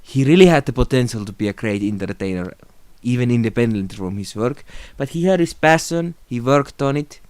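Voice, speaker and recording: A young Finnish man speaks calmly and reflectively into a close phone or webcam in a small room. His voice is smooth and clear, at a middle pitch. The sound is dry, with no room echo. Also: a little fast, hardly any pauses. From Finland. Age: young adult. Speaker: video creator. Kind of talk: unscripted tribute talk to camera.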